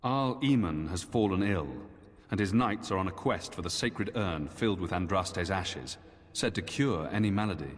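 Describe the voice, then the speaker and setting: A man voicing a weary, dour Templar knight commander with formal, measured speech in a fantasy video game. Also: low voice, expressive. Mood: grim, bitter. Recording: long echoing hall reverb.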